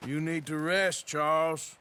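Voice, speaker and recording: An actor playing a gruff American outlaw in a Western video game, dry, blunt and sarcastic. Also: male voice, a little high, little pitch movement, a little slow, pushed and bright. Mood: sardonic. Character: gruff, sardonic American outlaw gunman in his thirties. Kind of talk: video game cutscene acting.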